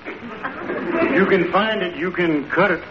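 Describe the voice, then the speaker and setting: High-voiced skeptical radio waiter. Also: male voice, very animated, takes it slowly, heavily acted. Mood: indignant. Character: skeptical, put-upon tavern waiter, dry wisecracker. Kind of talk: old-time radio comedy acting. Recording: muffled, noisy vintage radio recording, studio audience.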